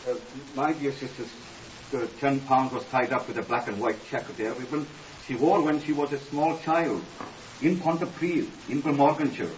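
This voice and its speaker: An actor playing a sceptical, dogged police assistant in a 1950s radio drama. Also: male voice, mid-range voice, normal speed, natural voice. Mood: earnest. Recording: dull, hissy, distant 1950s radio recording.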